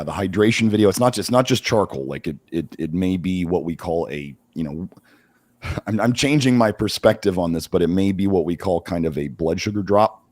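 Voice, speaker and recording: A middle-aged man with a hoarse voice hosting a casual livestream, talking in halting bursts with many restarts. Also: low-pitched, darkish tone, no room sound. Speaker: host.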